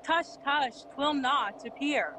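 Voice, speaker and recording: A woman's voice: high voice, slow pace, sharp tone, slight background noise, exaggerated voice, poor audio. A woman playing a loyal, skeptical young scholar awed by a ghost, in theatrical Shakespearean verse for an audio play. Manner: theatrical Elizabethan verse delivery.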